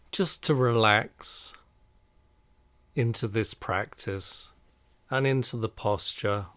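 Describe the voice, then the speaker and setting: A man guiding a relaxation meditation in a calm, soothing, unhurried manner. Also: on the low side, clear, resonant tone, no room sound. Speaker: meditation guide.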